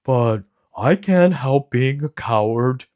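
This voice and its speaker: A man voicing a big, cowardly male lion who speaks slowly and mournfully. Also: medium pitch, animated, darkish tone, pitched above the performer's own voice. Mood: sorrowful. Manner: slow, drawn-out, mournful delivery.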